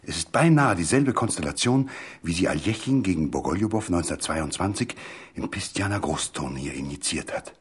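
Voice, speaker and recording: Man acting an urgent, deep-voiced middle-aged chess expert. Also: expressive, fairly quick, breathy edge, exaggerated voice. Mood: urgent, precise. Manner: hasty, precise, urgent. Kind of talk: literary radio reading.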